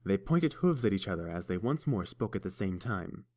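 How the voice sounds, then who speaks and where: A man narrating a fan fiction audiobook in a steady, measured storytelling voice. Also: deep voice, background noise.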